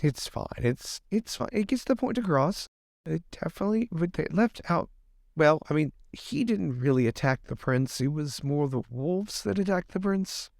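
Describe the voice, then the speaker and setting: A man's voice: fairly high pitch, lively intonation, moderate pace, vocal fry, a little mellow, dry recording, studio quality. A man improvising a polite, hesitant, faintly peevish lord in a tabletop roleplay. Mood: mildly put out. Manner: polite, hesitant, passive-aggressive. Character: mild young lord, politely peevish, easily pleased.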